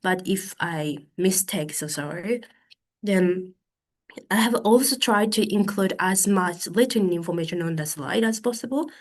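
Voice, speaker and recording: A Japanese woman in her thirties speaking English as a second language, giving a conference talk over a video call, careful and halting with many fillers, a little stuffy from hay fever. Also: lowish voice. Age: 30s.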